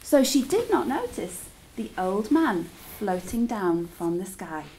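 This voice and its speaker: A woman's voice: medium pitch, sing-song, measured pace, a little mellow, a little hiss or hum, poor audio. A woman teacher reading a story aloud to children in a dramatic, engaging way. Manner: dramatic, engaging listeners with questions.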